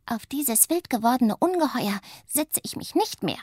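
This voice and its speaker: Little witch who whines easily voiced by a woman, high and plaintive, breathy, for a storybook reading. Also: lively intonation, moderate pace, darkish tone, dry recording, studio quality. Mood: whimpering. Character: small, whiny, easily hurt young female witch.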